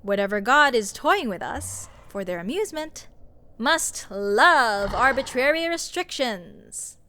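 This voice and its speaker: A woman's voice: fairly high pitch, lively intonation, takes it slowly, sharp tone, a little hiss or hum, full character voice. A woman playing a snarky, exasperated sorceress in a fantasy audio roleplay, talking to the listener in a sarcastic, emphatic, conversational way. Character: snarky young sorceress, exasperated, scoffing, nitpicking adventurer. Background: fantasy dungeon ambience.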